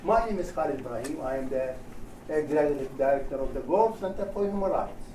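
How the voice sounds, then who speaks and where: A man with an Iraqi Arabic accent gives a formal speech from notes as a human rights panel speaker, phrasing deliberately in short chunks of non-native English. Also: a little high, animated, warm tone. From Iraq. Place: indoor event room at a panel discussion. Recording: roomy echo, distant mic, faint noise.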